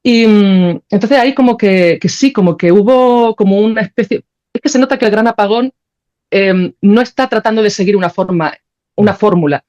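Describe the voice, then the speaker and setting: A woman with a Spanish accent speaks at a middle pitch in a casual, colloquial way. She thinks aloud as she explains, like a guest in conversation. The audio is slightly dull and lo-fi. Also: moderate pace, darkish tone. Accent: Spain. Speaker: podcast guest, composer and sound creator.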